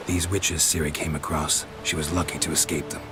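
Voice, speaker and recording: An actor playing a gruff, weary monster hunter in a video game, terse, deadpan and gravelly, with clipped delivery. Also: male voice, very deep voice, fairly quick. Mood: grave, matter-of-fact. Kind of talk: video game cutscene acting. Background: music.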